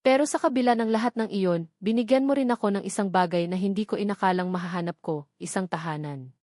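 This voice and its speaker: A woman voicing a young bride who once worked as a maid, speaking with a steady, grateful voice. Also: mid-range voice, fast, no echo, clean studio sound, lightly acted. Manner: steady, firm voice.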